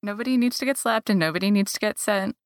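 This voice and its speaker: A woman's voice: mid-range voice, fast talker, no echo, clean studio sound. A woman interviewing a guest in a conversational style, putting questions to them.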